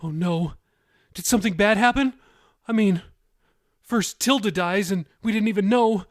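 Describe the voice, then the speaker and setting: Male narrator as anxious middle-pitched-to-high mother, excitable, questioning, audiobook dialogue, dry sound. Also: normal speed, no echo, natural voice. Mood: panicked.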